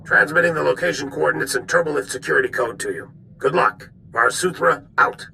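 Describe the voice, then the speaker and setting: Grave old army general for a game, really creaky gravelly voice, bright edge, deliberate. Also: male voice, fairly high pitch, a little hiss or hum, heavily acted, low-quality recording. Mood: businesslike. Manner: grave, deliberate military briefing. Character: weary, grave older male military general. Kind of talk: dramatic video game dialogue.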